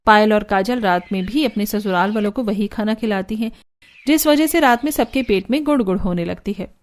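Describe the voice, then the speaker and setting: A woman's voice: medium pitch, fairly quick. A woman narrator reading a scripted cartoon story.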